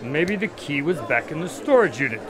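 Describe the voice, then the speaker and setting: Male actor, skeptical doctor sidekick, animated pitch, wry, exasperated, questioning, audio drama, outdoor crowd noise. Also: brisk.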